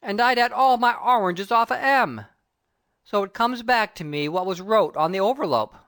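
A woman voicing a sentimental male burglar, talking loudly. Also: medium pitch, expressive, normal speed, dry recording, clean studio sound. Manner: loud, rough working-class speech.

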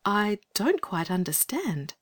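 A man voicing a kind, curious young girl in a read-aloud storybook, in a gentle, questioning girlish voice. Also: very high-pitched, very animated, moderate pace, voice pushed up.

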